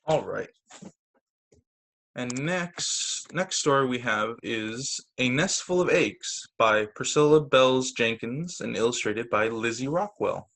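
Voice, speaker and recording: A man teaching young children through a read-aloud storytime on a video call, with expressive, deliberate phrasing. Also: mid-range voice, creaky. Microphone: video call microphone.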